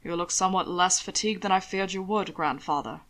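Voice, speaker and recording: Woman doing a low, measured aristocrat. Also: a bit bright, close to an everyday voice. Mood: calm, firm. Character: composed, protective aristocratic husband.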